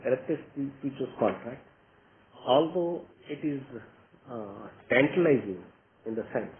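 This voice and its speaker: A man's voice: medium pitch, creaky. Tinny phone audio w some noise, indian exec guy explaining stuff at investor meeting, keeps checking in. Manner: explanatory, repeatedly checks with "okay?". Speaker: company executive answering investors. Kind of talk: answering questions in an investor meeting.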